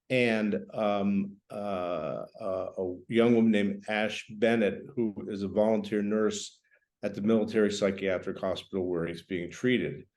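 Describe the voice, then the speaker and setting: An older man speaks in a low-pitched voice. He is a writer presenting his own fiction, moving between explanation and reading aloud. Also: a little mellow. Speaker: writer, guest. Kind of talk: introducing and reading from own novel.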